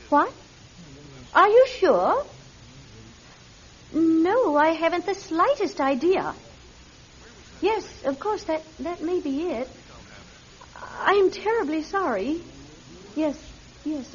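Very high-voiced, troubled mother. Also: animated, slow, long pauses, full character voice. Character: gentle, troubled middle-aged mother with psychic gift. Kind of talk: old-time radio drama acting. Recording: dull vintage radio recording.

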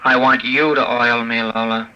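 Lonesome robot: childlike, literal, questioning, very sharp bright tone, classic radio drama. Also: male voice, medium pitch, level tone, normal speed, rough recording. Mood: childlike wanting. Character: childlike lonesome male robot, naive and obedient. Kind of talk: old-time radio drama acting. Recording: vintage radio recording.

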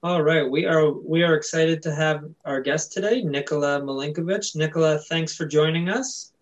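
Upbeat host with a raised voice. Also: male voice, fairly high pitch, normal speed, dull audio. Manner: friendly, filler-heavy questions. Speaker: podcast host. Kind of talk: interview hosting.

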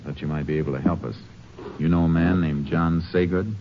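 An actor playing a shrewd, authoritative American Texas Ranger in a 1950s radio drama, terse and dry-witted. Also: male voice, a bass voice, fairly even intonation, brisk. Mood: dry, pressing. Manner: terse, authoritative, dryly sardonic. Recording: muffled, noisy old 1950s radio recording.

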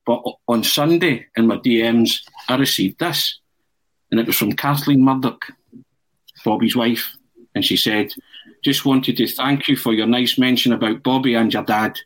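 A man's voice: medium pitch, a bit bright, poor audio. A man hosts a football podcast in casual chat. He is jokey and playful, telling stories and teasing his guests, and he laughs often. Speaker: podcast host.

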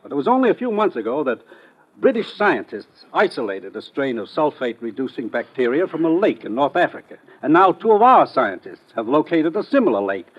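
A man's voice: on the high side, big pitch swings, a little fast. A man acting an authoritative, dryly teasing government commissioner in a 1950s radio drama. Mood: urgent briefing. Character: brisk, authoritative government commissioner briefing his agent. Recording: dull vintage radio recording.

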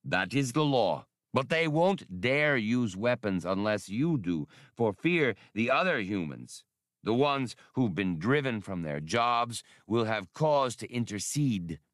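A man's voice: mid-range voice, big pitch swings, takes it slowly, no echo, studio quality. A man voicing a huge, battle-scarred old creature in a storybook, proud and defiant, speaking formally with a whistling voice. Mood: stern warning. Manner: solemn, formal, declamatory.

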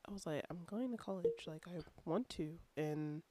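A woman's voice: on the low side, expressive, normal speed, warm tone, slight background noise. A young woman from California, telling a story on a podcast in a laid-back, casual way, laughing between phrases. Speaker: podcast co-host. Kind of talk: casual chat.

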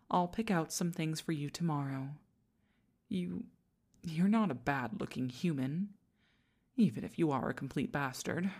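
A haughty demon queen, acted by a woman, with a low voice and slow, measured delivery. She talks to the listener in pompous commands in an intimate ASMR roleplay, proud but easily flustered. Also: a little mellow.

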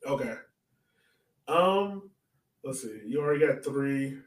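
A man's voice: mid-range voice, animated, takes it slowly, recorded from a distance. A man co-hosting a casual sports podcast, speaking informally as he banters and puts questions to his partner. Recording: echoey room, off the mic.

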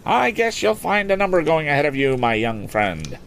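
A man voicing a middle-aged male auctioneer who talks in brisk sing-song sales patter. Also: on the high side, sing-song, creaky, fairly bright tone, slight background noise, pitched above the performer's own voice, exaggerated voice. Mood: bantering.

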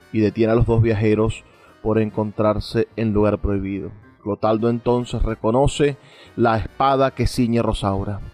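A man's voice: on the low side, a little mellow. Male radio host over music. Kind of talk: literary radio commentary and scripted promo.

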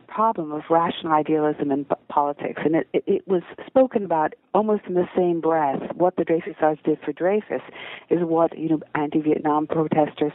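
A woman's voice: low-pitched, no echo. A middle-aged American woman, a historian, answering interview questions with an American accent and occasional hesitations. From United States. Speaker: historian, guest author. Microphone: phone line. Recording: tinny dry phone line.

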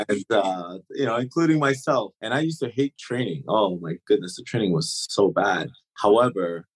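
Male interview guest, thirties, lowish voice, relaxed rambling storyteller. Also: normal speed, husky. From Turks and Caicos. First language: German. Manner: relaxed, many fillers and restarts, laughs while talking.

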